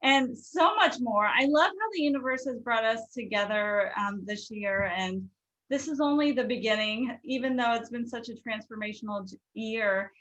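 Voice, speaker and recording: Earnest woman podcast host, gushing and quick, fairly high voice, muffled lo-fi sound. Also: a bit bright. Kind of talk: casual podcast chat.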